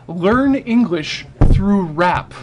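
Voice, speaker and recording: High-pitched man telling casual stories. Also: expressive, extremely slow, noisy background. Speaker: storyteller on a charity livestream. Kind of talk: casual humorous storytelling.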